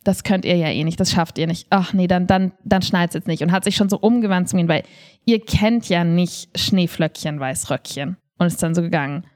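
A woman chatting casually as a podcast co-host, telling stories in an animated way and slipping into quoted dialogue. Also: lowish voice, normal speed. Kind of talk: casual conversational storytelling.

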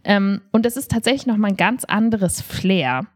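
A woman's voice: mid-range voice, moderate pace. A woman hosting a podcast in a casual, conversational style. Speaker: podcast host.

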